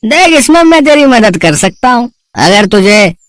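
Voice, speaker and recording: A man's voice: very high-pitched, very animated, unhurried, fairly bright tone, no echo, exaggerated voice. Man doing sly middle aged crook in a story, gambler type, coaxing and shady, dry recording. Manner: insinuating, coaxing. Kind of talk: Hindi moral story voice acting.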